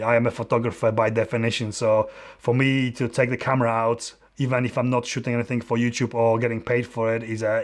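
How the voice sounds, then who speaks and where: Polish man hosting a live Q&A. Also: lowish voice. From Poland. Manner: halting, many restarts and fillers, friendly and open. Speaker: photographer and YouTuber hosting a live Q&A. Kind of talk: live-stream Q&A, casual chat. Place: dim home studio room indoors.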